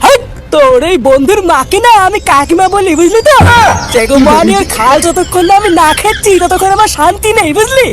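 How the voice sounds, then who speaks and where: Man as a high-pitched, menacing cartoon boss. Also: expressive, fairly quick, over loud music. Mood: angry, threatening. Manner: threatening, emphatic. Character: vengeful, menacing, swaggering cartoon father and club boss. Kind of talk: Bengali comedy cartoon dub.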